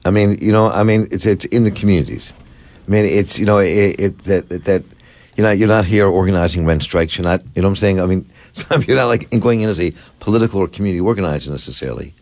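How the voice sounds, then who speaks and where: A man's voice: low voice, fast talker, a little mellow. A man hosting a call-in radio talk show, rambling and restarting his sentences as he works toward his questions. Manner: rambling, repeats words while searching for a point. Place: radio studio.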